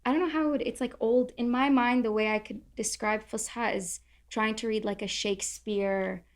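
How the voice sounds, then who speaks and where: A woman's voice: fairly high pitch, fairly even intonation, normal speed. A young adult woman from Southern California, chatting casually and with self-deprecating humor as a guest in a roundtable talk. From Orange County, California. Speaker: content creator, podcast guest.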